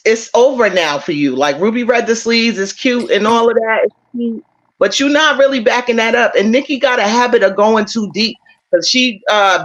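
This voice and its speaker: A man joining a casual podcast as a guest, relaxed and chatty. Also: high-pitched, highs cut off. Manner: relaxed, chatty, says bro. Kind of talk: casual chat.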